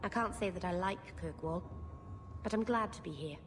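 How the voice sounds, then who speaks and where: A woman's voice: lowish voice, normal speed, natural voice. Woman acting a sweet young elf, echoing room. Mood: awkward, earnest. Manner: earnest, self-deprecating, socially awkward. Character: awkward, sweet, bookish young female elf mage. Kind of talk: video game dialogue and storytelling. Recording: reverberant room, clear background noise.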